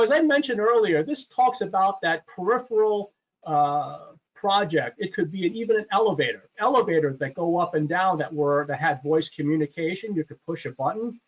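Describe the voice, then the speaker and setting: A man presenting a product webinar in a conversational, explanatory way, stressing his key points. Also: on the high side. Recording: dull, lo-fi webinar audio.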